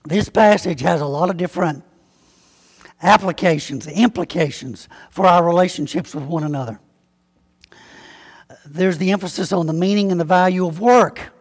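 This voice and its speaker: A Southern-accented pastor preaches in a relaxed, folksy way, slowing to lean on key words. His man's voice sits high with a husky edge. It rings out with a long reverb, as if in a large church. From Alabama, US. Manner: folksy, conversational preaching with slow emphatic spelling-out. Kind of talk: sermon.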